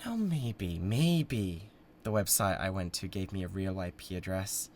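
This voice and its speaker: A man's voice: low-pitched, animated, unhurried, a little hiss or hum. A British man playing a bratty, obsessive yandere livestreamer in a dramatic audio roleplay, talking to viewers in a theatrical, stammering, defensive way. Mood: quiet, menacing.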